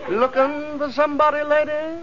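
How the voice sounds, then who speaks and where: High-voiced, folksy country wisecracker. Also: flat delivery, normal speed, fairly bright tone, a faint crowd. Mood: wry teasing. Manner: rustic drawl, folksy grammar. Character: wry, folksy male country local, unhurried and teasing. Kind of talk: 1940s radio comedy acting. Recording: dull, noisy vintage radio recording.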